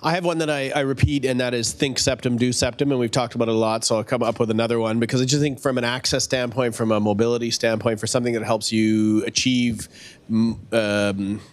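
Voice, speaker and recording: A man's voice: medium pitch, fairly even intonation, a little fast. Methodical male surgeon explaining. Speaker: panelist surgeon. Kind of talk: conference panel talk. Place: conference room. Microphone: handheld mic.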